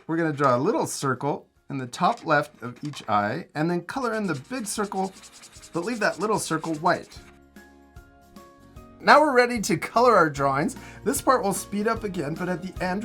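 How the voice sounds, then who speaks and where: A man's voice: sits high, lively intonation, moderate pace, sharp tone, soft background music. A middle-aged man, guiding children step by step through a drawing lesson with simple, encouraging instructions. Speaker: host of a children's drawing tutorial.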